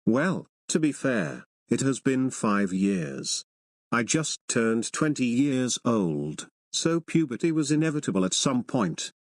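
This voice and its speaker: A man acts out a mature young man of twenty in an adult cartoon. He speaks in a formal, articulate way at a middle pitch, with a very dry, close sound. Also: very animated, takes it slowly, no room sound. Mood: matter-of-fact. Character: mature twenty-year-old young man back from military school.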